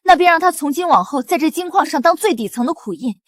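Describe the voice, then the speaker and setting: A calm cutting young heroine. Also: female voice, high-pitched, quick pace, no echo. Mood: cold. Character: young noble wife, calm and righteous.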